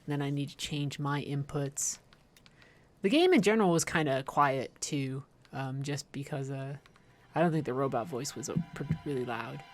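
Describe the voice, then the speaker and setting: A woman's voice: very deep voice, moderate pace, faint music behind. A woman doing casual livestream game commentary, chatting informally with viewers and rambling into playful asides. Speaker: livestream host playing a video game.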